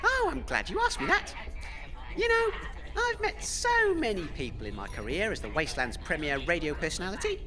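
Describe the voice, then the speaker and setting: A man's voice: high voice, wildly swooping pitch, brisk, over music, exaggerated voice. A man playing a pompous, easily flustered British wasteland radio DJ in a comedy audio drama. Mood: boastful. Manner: pompous, hesitant when challenged. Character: self-important, flustered wasteland radio DJ telling boastful anecdotes.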